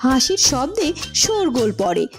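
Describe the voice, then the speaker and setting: Brief: woman narrator, very high voice, lively, children's music behind. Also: normal speed. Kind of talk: storybook narration.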